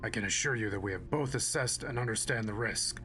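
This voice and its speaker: An actor playing an earnest, respectful ranger soldier in an audio drama, measured and formal. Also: male voice, lowish voice, sharp tone, a little hiss or hum. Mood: earnest persuasion.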